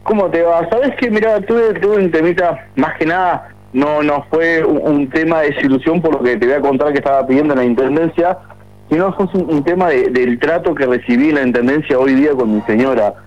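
A man's voice: fairly high pitch, brisk, faint music behind. A man in his forties with a Uruguayan accent, calling a radio show to complain at length, with many restarts. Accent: Uruguayan Spanish. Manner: aggrieved, rambling with many restarts. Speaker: caller. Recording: muffled phone line on radio.

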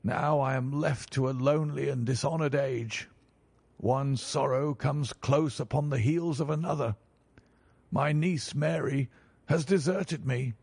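Casting: man doing a frantic, grieving old banker, pleading and raised, gravelly edge. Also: medium pitch, measured pace, a little mellow, no room sound. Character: distraught elderly male banker, frantic and pleading.

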